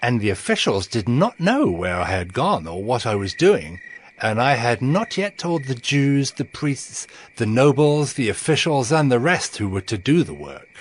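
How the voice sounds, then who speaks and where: A man narrating a dramatized scripture story in a solemn, measured storytelling manner. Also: mid-range voice, sing-song. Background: background music.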